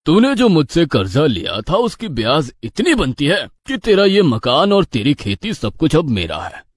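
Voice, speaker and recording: A man's voice: fairly high pitch, wildly swooping pitch, moderate pace, slightly rough, exaggerated voice. A man acting a cruel, bullying village moneylender in a cartoon story, threatening and commanding. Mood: scornful.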